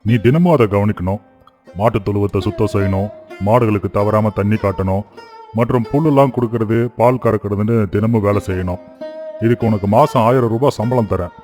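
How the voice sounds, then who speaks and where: Low-voiced, kindly village employer played by a man. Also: darkish tone, soft background music, close to an everyday voice. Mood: appraising, businesslike. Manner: measured, authoritative, benevolent. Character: kindly, practical older village farmer and cattle-owning employer. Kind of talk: animated moral story dialogue.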